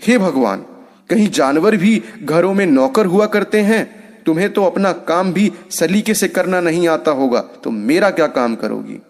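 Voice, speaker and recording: A man's voice: a little high, animated, normal speed, darkish tone, pitched above the performer's own voice. A man voicing a fussy old village granny with a fretful, grumbling manner in a storybook tale. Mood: indignant.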